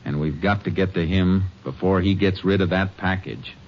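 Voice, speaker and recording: An actor playing a steady, determined Texas Ranger in a 1950s radio drama, terse and matter-of-fact, with an American accent. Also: male voice, sits low, normal speed, heavy background noise. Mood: grim, focused. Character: steady, determined male Texas Ranger, narrating his case. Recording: muffled old radio recording, loud music.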